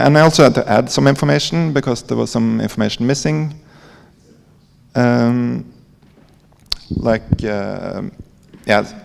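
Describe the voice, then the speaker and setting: A man with a Norwegian accent giving an informal conference presentation in a halting, hesitant way, with frequent pauses. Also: medium pitch, gravelly, a little mellow. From Norway. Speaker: conference presenter, library coder. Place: conference hall. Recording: echoey hall with long reverb.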